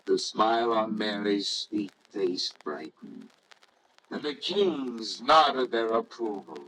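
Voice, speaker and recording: A man with a lowish voice reads as narrator, slowly and reverently. It sounds like old radio storytelling, with faint noise behind it. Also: fairly bright tone, low-quality recording. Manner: solemn, reverent, measured.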